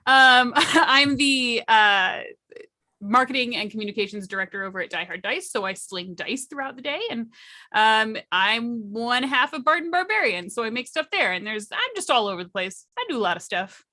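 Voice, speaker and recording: Bright, animated, joking woman. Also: fairly high pitch, moderate pace, dry recording. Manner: joking, exuberant. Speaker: guest player on a game stream. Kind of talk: casual live stream chat.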